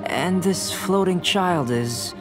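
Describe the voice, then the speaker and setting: Calm, scholarly young alchemist, detached. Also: male voice, sits high, music in the background. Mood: curious, analytical. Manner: measured, articulate, scholarly and detached. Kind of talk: scripted video game dialogue.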